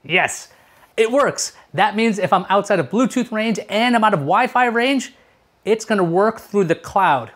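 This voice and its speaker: A man's voice: sits high, expressive, unhurried, a bit bright. A man in his thirties hosting a casual tech review to camera, chatty and explanatory, often asking rhetorical questions. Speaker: tech show host. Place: small furnished room at home, indoors.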